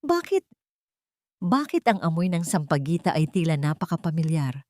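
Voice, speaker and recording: A woman's voice: low voice, sing-song, moderate pace, a little mellow, dry recording, studio quality. A woman voicing a sorrowful, authoritative old billionaire father who murmurs with searching emotion in a dramatic audio story. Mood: wondering, trembling.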